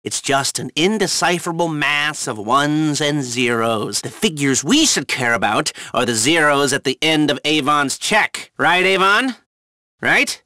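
A jittery, wisecracking game hacker guiding a heist crew. His voice is high and very sharp, forceful and dryly recorded, with an excitable, sarcastic edge. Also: unhurried, no echo, clean studio sound. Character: wisecracking, nervous, fast-talking male heist hacker handler. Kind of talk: video game voice acting, radio-style mission instructions.

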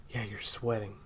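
A man acting in an intimate audio roleplay as a caring, awkward handler who speaks softly and haltingly to an injured partner. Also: lowish voice, a little hiss or hum. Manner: hesitant, halting, soft-spoken. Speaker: voice actor.

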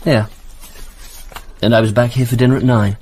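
A man's voice: mid-range voice, steady tone, moderate pace, darkish tone, breathy edge, lightly acted. An English actor in a radio drama playing a self-important male politician with a clipped, defensive manner. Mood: curt, guarded.

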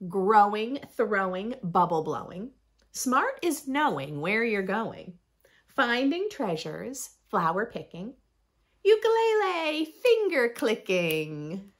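Animated, slow-paced woman reading to children. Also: medium pitch. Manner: animated, deliberate phrasing with playful emphasis. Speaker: host of a children's read-aloud channel. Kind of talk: expressive picture-book read-aloud for young children. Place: small furnished room indoors.

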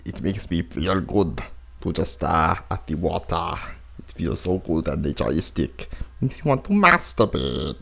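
A man voicing a gloomy, drunken, foul-mouthed sea captain with a gravelly voice in a cartoon parody. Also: deep voice, big pitch swings, a bit bright, voice pushed down, exaggerated voice. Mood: grumbling, musing. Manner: gloomy, slow, crude. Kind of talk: crude cartoon parody dub.

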